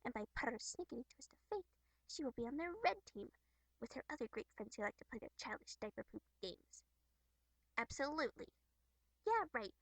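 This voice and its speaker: A woman's voice: fairly high pitch, big pitch swings, brisk, breathy edge, no room sound, heavily acted, low-quality recording. A woman voicing a playful, defiant young troll girl from a webcomic, theatrical and teasing. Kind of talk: amateur character voice acting from a webcomic.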